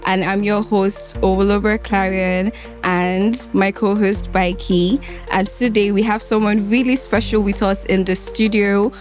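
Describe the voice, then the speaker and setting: Slow-paced Nigerian woman hosting. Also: mid-range voice, fairly even intonation, faint music behind. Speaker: podcast host. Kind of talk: podcast introduction and interviewing. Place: podcast studio.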